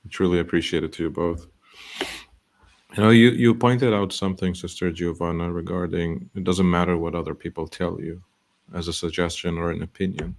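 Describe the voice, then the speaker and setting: Very deep-voiced earnest motivational man. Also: little pitch movement, a little mellow. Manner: earnest, quotes sayings, slow emphasis. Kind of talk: motivational talk.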